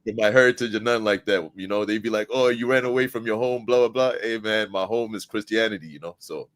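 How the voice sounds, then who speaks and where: Deep-voiced Zambian man, dry close sound. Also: quick pace, no room sound. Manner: explanatory, uses tag questions. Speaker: guest. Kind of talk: casual interview chat.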